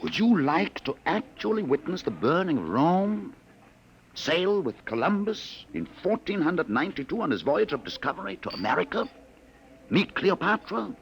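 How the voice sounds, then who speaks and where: An actor playing a sinister male searcher from the future in a 1950s radio drama, taunting his targets with smooth, insinuating salesman patter. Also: fairly high pitch, very animated, moderate pace, poor audio. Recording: old radio broadcast with faint hiss.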